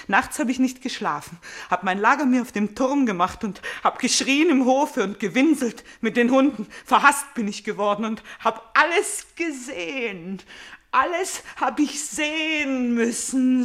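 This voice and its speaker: A tormented princess bent on vengeance in a classical radio play. Her womanly voice sits fairly high and moves theatrically from hushed, breathy confession to fierce, fevered outcry. She sounds obsessive and full of grief. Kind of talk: German classical radio drama acting.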